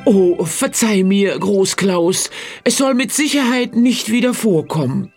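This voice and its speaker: Small poor peasant man, high lively voice, slow delivery, eager, meek, fairy-tale audio play, acted out by a performer. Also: faint music behind. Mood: meek, apologetic. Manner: exclaiming, overeager, submissive when scolded.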